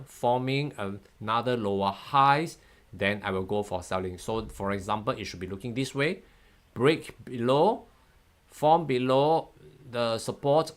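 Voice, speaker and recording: A man's voice: on the low side, fairly bright tone. Upbeat middle-aged man streaming live. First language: Mandarin. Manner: chatty, upbeat, often laughing and thanking viewers. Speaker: forex trading educator. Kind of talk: live-stream chart analysis.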